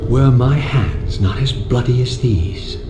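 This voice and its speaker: A man playing a bitter, vengeful vampire wraith in a video game, measured, eloquent and theatrical. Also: mid-range voice, noisy background, close to an everyday voice. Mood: anguished, brooding. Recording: echoing, music underneath.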